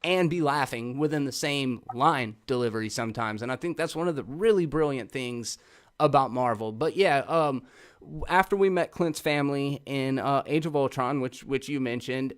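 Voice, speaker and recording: Effusive man hosting a movie review. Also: on the high side. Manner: effusive, swears freely. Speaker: host of a movie review show. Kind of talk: casual spoken film review.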